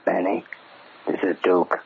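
An actor playing a gossiping underworld tipster in an old radio crime drama, terse, slangy and confiding. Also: male voice, low-pitched, little pitch movement, unhurried, vocal fry, a little hiss or hum. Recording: tinny, phone-like old radio recording.

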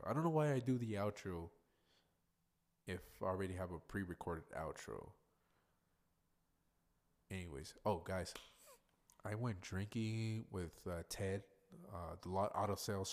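Congested, nasal male podcast host. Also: on the low side. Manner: rambling, profane, loose and chatty. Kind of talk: casual solo podcast monologue.

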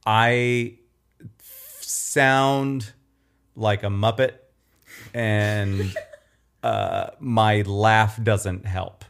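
A man's voice: lowish voice, fairly even intonation, drawn-out words, pauses often, a bit bright, clear, resonant tone. A man with a nasal, throaty voice, chatting casually and self-deprecatingly as a podcast co-host. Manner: self-deprecating, rambling with restarts. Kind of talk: casual chat.

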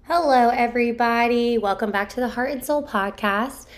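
A woman, hosting her podcast solo with a hoarse voice while recovering from a sore throat, rambling candidly with many fillers. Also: on the high side, moderate pace. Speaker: podcast host. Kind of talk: casual solo podcast chat.

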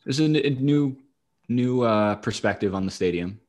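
A man's voice: medium pitch, moderate pace, a little mellow. A man co-hosting a sports podcast in casual banter, with a dry, wry, profane way of talking.

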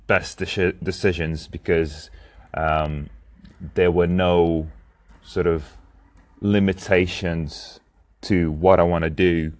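A young man from Lithuania, speaking English as a second language, talks through his plans in a reflective personal diary monologue, hesitating often with ums and restarts. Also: low-pitched. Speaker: podcast host and founder.

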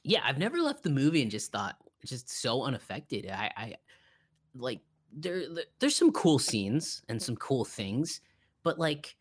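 A man with a mid-pitched voice speaks as a podcast co-host in casual conversation. He rambles and halts, restarting his thoughts and repeating phrases to drive a point home. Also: big pitch swings, husky. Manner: rambling, halting, repeats himself for emphasis. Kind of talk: casual film review chat.

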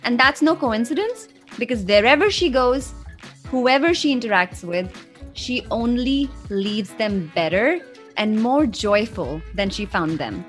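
A woman hosts a podcast with eager enthusiasm and a playful, teasing edge. Her voice sits fairly high. Faint music plays underneath. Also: animated, measured pace. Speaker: podcast host. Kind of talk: podcast conversation.

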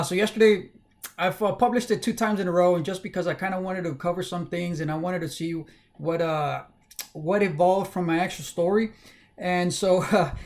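Halting casual young man, fairly high voice. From South Texas, USA. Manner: halting, many fillers and restarts; candid, personal. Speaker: livestream host. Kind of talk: casual livestream monologue.

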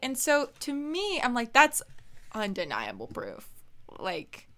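A woman's voice: on the high side, wildly swooping pitch, slow, bright, forceful tone. A woman in her twenties co-hosting a podcast in casual chat, giggly and full of fillers. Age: 20s. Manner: chatty, giggly, lots of fillers. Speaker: podcast co-host.

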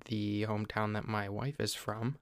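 A young man from Ohio, host of a podcast, explaining things in a relaxed, casual way with small restarts. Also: lowish voice, normal speed, vocal fry, clean tone, dry recording, clean studio sound. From Ohio, USA.

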